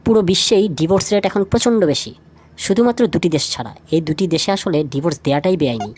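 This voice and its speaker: A woman with a slightly low voice presents a light trivia feature from a script. She addresses the listener directly, posing questions as she narrates. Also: expressive, quick pace, darkish tone, a little hiss or hum. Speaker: lead narrator of a feature video.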